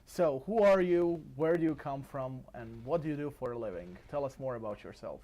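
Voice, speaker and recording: A man's voice: medium pitch, a little fast, warm tone. Friendly male podcast host, laughing. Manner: friendly, laughs often, slightly broken English. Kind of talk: casual interview chat.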